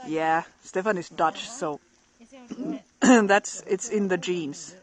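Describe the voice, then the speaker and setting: A woman's voice: fairly low pitch, slow, poor audio. A middle-aged woman live-streaming outdoors in a snowy forest, chatting casually with viewers and laughing often.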